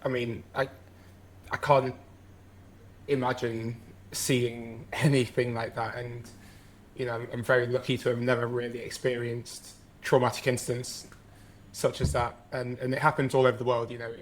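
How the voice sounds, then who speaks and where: A man hosting a podcast, asking halting, reflective questions and repeating his words. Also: medium pitch, level tone, slight background noise, poor audio. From England. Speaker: podcast host. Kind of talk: interview questions.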